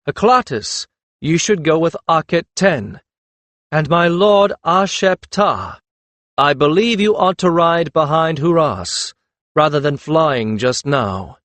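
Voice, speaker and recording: A man voicing a decisive young male leader of dragon riders in a fantasy audiobook, enunciating deliberately as he directs others. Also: a little high, a bit bright, no echo, voice pushed up. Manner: careful, deliberate enunciation, giving orders.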